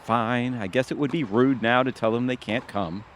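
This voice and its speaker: A man's voice: medium pitch, moderate pace, a little hiss or hum, natural voice. A man acting a goofy, indignant, henpecked middle-aged husband in a comedic audio drama.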